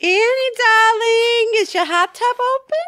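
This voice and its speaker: An actress playing a smug, flamboyant, scheming office rival with a haughty, catty manner in an audio comedy. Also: female voice, extremely high pitch, unhurried, pushed and bright, dry recording, full character voice. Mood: airy.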